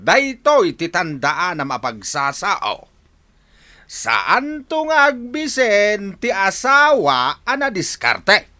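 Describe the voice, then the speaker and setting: A man with an Ilocano accent playing an excitable, scolding elderly father in a radio drama. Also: high voice, slow pace, full character voice. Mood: puzzled disapproval. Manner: exclaiming, indignant questioning. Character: excitable, scolding elderly father, nosy about his children. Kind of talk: Filipino radio drama acting.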